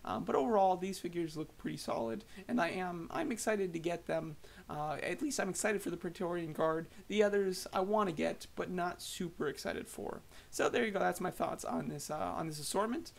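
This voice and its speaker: Hoarse man, gravelly, fairly high voice, casual rambling toy commentary, lots of ums. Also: moderate pace. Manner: rambling, hesitant, many fillers and restarts. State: slightly hoarse. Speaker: toy collector vlogger.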